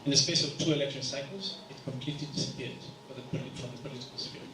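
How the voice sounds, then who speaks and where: A man from Kenya asking a question from the audience at a round table, in a measured, explanatory way. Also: medium pitch, fairly even intonation, noisy background. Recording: echoey room, picked up from a distance.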